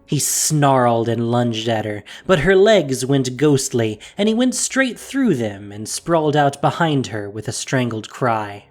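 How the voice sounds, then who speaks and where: Man narrating a fantasy story with lively pitch. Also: unhurried, few pauses.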